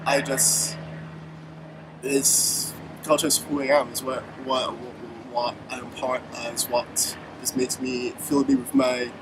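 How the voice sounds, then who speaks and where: A man's voice: medium pitch, slow. A young Barbadian man chatting loosely as an interview guest, circling back and restarting his thoughts. He sits a little away from the mic. An air-conditioner hiss and distant traffic run underneath him. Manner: relaxed, rambling, many restarts and fillers. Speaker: musician guest. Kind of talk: casual interview chat. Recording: distant mic with background noise.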